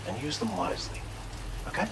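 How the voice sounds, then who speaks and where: Man playing an animated, eccentric, courteous healer-researcher. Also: medium pitch, fairly quick, heavy background noise, close to an everyday voice. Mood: earnest, explanatory. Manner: theatrical, formal, effusive. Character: eccentric, courteous male tar therapist, enthusiastic self-taught researcher. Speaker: non-player character in a video game. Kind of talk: expressive video game character dialogue.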